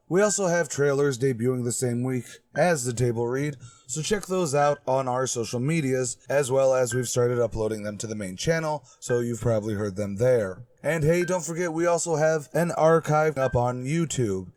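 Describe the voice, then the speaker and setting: A man announces for a radio drama show in scripted, old-time broadcast phrasing. His voice sits at a middle pitch, with some noise behind it. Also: a little mellow. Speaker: announcer and host.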